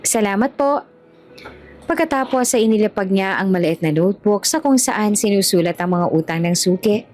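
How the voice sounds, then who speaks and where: A woman's voice: mid-range voice, expressive, fairly quick, darkish tone. A woman storyteller reading scripted narration for an audio drama. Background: music.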